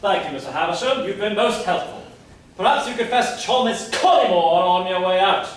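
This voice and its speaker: An actor playing a probing, easily exasperated police inspector, speaking with theatrical pauses in a staged period murder mystery. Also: male voice, sits high, expressive. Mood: brisk, businesslike. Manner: theatrical, deliberate, old-fashioned phrasing with dramatic pauses. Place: live staged reading in an echoey room. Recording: echoey room, distant mic, faint noise.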